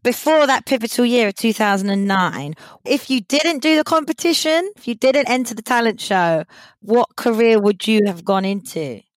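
A woman's voice: on the high side, animated, moderate pace, dry recording. A woman hosting an interview, asking questions and often cheering her guest on with short affirmations. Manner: encouraging, frequent affirming interjections.

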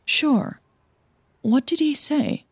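A woman's voice: high-pitched, very slow, no room sound, clean studio sound, pitched above the performer's own voice, exaggerated voice. A woman voicing a frustrated, defensive younger woman who answers in a curt, defiant way in an audio drama. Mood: suspicious, snappy.

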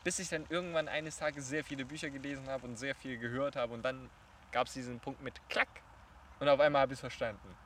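A man asks questions in a thoughtful way. His voice is bright and forceful. He sounds a bit off-mic, with faint street noise behind him. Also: mid-range voice, steady tone, fairly quick, distant mic. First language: Chinese. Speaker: interviewer. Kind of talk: casual conversation.

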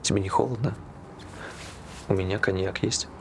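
A man's voice: fairly low pitch, moderate pace, creaky, a bit bright, a little hiss or hum. An actor playing a reserved, considerate ordinary man with understated, brief replies in a naturalistic stage drama. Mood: gentle.

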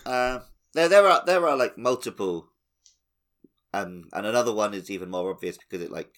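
Low-voiced man hosting a casual podcast. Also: animated, normal speed, fairly bright tone. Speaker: podcast host. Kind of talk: casual chat.

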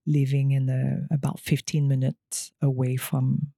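Soft, creaky-voiced woman. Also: rumbling low, little pitch movement, unhurried, no room sound, studio quality. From Quebec, Canada. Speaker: podcast guest. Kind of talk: personal storytelling interview.

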